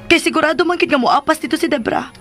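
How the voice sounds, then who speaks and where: A respectful, protective daughter in radio drama style. Her high voice is plaintive and earnest, with a little music faintly underneath. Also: fast, a bit bright. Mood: worried. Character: worried, respectful young daughter, protective of mother.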